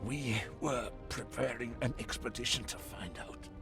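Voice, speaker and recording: An actor playing a defeated captive fighter in a fantasy game, grave and deliberate as he earnestly gives warning. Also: mid-range voice, music in the background, lightly acted. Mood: grave, weary. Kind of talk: dramatic fantasy game acting.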